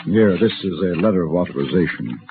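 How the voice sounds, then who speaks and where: An actor playing a cool, cultured gun-for-hire in a 1950s radio drama, assured and direct. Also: male voice, deep voice, animated, fairly quick, slight background noise. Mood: businesslike. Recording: muffled vintage radio recording.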